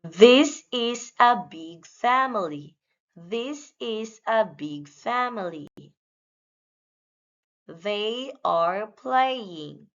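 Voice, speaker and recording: A woman narrating a scripted English lesson for young children, reading short simple phrases in a deliberate, instructional way for repetition. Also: fairly high pitch, expressive. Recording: dull, lo-fi sound.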